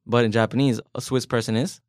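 A man's voice: mid-range voice, steady tone, quick pace, no echo, studio quality. A man from the United States, co-hosting a bilingual podcast language lesson, explaining points and asking leading questions with light jokes. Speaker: podcast co-host.

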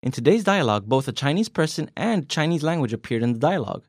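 A man's voice: a little high, very animated, fast talker, no room sound. A man co-hosts a podcast language lesson. He explains each point plainly, nudges his co-host with questions and drops small jokes. His voice sits close and dry on the microphone. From United States. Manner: explanatory, asks leading questions, light jokes.

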